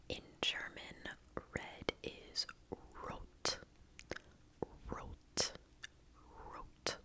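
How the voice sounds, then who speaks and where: A young woman whispering an ASMR language lesson close to the mic, softly repeating words, with casual chatty asides. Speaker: ASMR creator teaching basic German. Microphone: close sensitive mic.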